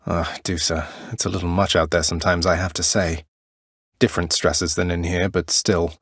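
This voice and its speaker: A man's voice: deep voice, no echo. A man voicing a young underworld prince in a video game, polite and casual, with a dry, understated wit.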